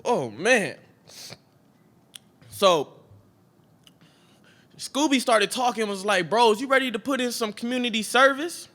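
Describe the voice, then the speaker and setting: A man's voice: extremely high pitch, animated, measured pace, long pauses, a bit bright, full character voice. A man performing a slangy, emotional stage monologue as a scared young street kid. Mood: animated storytelling. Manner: slangy, emotional storytelling, acting out each speaker. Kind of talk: dramatic spoken monologue on stage. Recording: piano music playing behind the voice.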